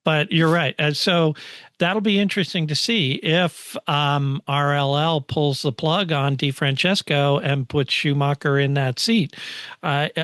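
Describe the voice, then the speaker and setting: A man with a slightly high voice talks sports in a casual, conversational way. He often pauses and feels for his words as a podcast co-host would. The sound is close and dry, with little room on it. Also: steady tone, fairly bright tone, no echo. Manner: halting, searching for words. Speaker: podcast co-host discussing motorsport.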